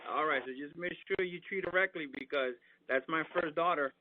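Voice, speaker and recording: A middle-aged man speaks quickly and casually, like a father who keeps pressing with questions. His voice is a bit high for a man. It comes through a narrow intercom connection with clear noise. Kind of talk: casual conversation. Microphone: doorbell intercom call. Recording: phone-like doorbell intercom audio, clear background noise.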